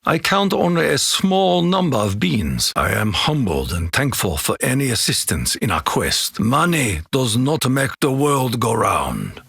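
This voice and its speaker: An actor voicing a solemn, proud Japanese samurai warrior for a video game, with a raspy voice, a Japanese accent and formal, grave phrasing. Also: male voice, mid-range voice, sing-song, a little slow, few pauses, a bit bright, no echo, studio quality, heavily acted. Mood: solemn, grateful. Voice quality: raspy, gruff. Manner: formal, grave, proverb-like phrasing with dramatic emphasis. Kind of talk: video game character voice lines.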